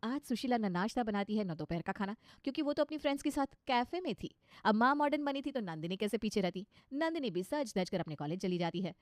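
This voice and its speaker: A woman's voice: mid-range voice, animated, fast talker, few pauses, no room sound, studio quality. A woman reading scripted narration for a moral story.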